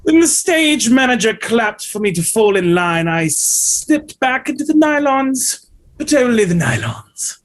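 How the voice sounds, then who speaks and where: A man's voice: very high-pitched, very animated, a little slow, full character voice. An actor playing a vain, flamboyant male ballet dancer telling a gossipy story at a script table read.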